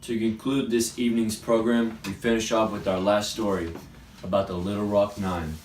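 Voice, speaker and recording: A man's voice: on the low side, level tone, moderate pace, darkish tone. A young man reading scripted newsreel narration as the anchor. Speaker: news anchor. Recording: echoey, distant mic, faint noise.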